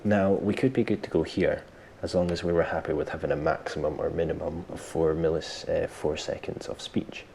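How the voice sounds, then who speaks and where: Very deep-voiced man giving a tutorial. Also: steady tone, a little fast, gravelly, few pauses, darkish tone, a little hiss or hum. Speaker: tutorial host. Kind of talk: software tutorial, explaining step by step.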